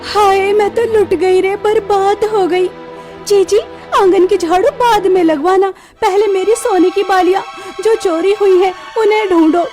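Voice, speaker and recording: A woman's voice: extremely high pitch, steady tone, a little slow, darkish tone, soft background music. A woman playing a spiteful, scornful aunt who accuses and bullies in a melodramatic cartoon story. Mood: accusing. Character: spiteful scolding aunt, cruel household bully.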